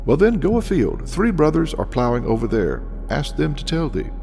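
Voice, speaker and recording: Storybook old counsellor, voiced by a man, solemn, gravelly, expressive, over soft music. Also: a little high, moderate pace, voice pushed up. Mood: instructing. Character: mysterious wise old man giving counsel. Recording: long reverb, music behind the voice.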